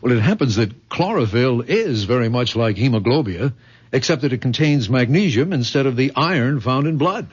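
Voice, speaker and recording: A man's voice: medium pitch, expressive, moderate pace, breathy edge. An earnest young fiancé in an old radio mystery. His mid-range voice is careful and patient, with the muffled sound of a vintage recording. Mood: earnest, explaining. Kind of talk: radio drama acting.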